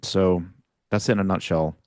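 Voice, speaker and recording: A man's voice: low voice, steady tone, normal speed, darkish tone, dry recording, clean studio sound. A young adult man speaking as a guest in a casual panel discussion, rambling with fillers and restarts.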